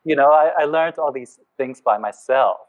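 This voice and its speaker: Man, mid-pitch, musing and halting, tinny phone-quality sound. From Malaysia. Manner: hesitant, many fillers and restarts, reflective. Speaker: guest. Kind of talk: casual podcast chat.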